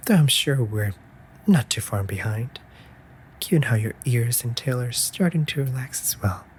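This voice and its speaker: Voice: medium pitch, expressive, normal speed, a little hiss or hum, lightly acted. A performer playing a playful, affectionate raven familiar in an intimate comfort audio roleplay, with teasing, mock-offended delivery. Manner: teasing, mock-offended, breaking into soft murmurs.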